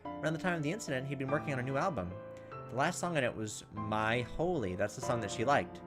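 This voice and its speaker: Over clear game music, a man with a mid-pitched voice reads visual novel story text aloud. He is a let's play host who moves between narration and casual remarks. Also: lively intonation, quick pace. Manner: slips into acted character voices between narration. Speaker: gaming video host. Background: video game music.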